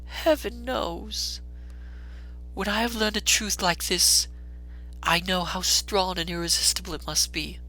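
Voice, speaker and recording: A woman playing a gentle, steadfast, sorrowful young woman in a dramatic storybook reading, measured and solemn. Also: on the low side, fairly even intonation, faint music behind, low-quality recording. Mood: sorrowful resolve.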